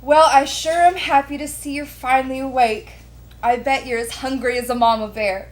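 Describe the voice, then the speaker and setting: A woman voicing a brisk, kindly hospital nurse in a story, with a hearty, folksy, encouraging manner. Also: sits high, steady tone, natural voice. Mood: cheerful, hearty.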